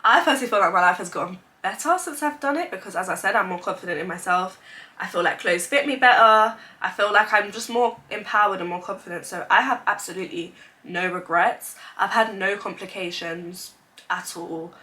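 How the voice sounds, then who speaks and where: A woman's voice: mid-range voice, a little fast, bright, forceful tone. A woman in her twenties, congested from a cold, chatting openly in a casual sit-down vlog with lots of "like" fillers. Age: 20s. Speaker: vlogger. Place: indoors, seated at home. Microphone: camera mic, sitting in front of it.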